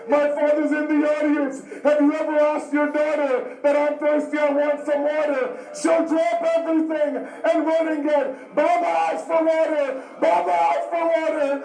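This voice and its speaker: In an echoey space, a man delivers a mourning sermon some way from the microphone. His anguished voice is pitched extremely high, with a creaky edge. He tells the tragedy dramatically, repeating phrases and acting out the dialogue. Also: darkish tone. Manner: emotional, dramatic, repetitive storytelling with acted-out dialogue. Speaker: preacher, religious lamentation reciter. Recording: echoey hall, distant microphone.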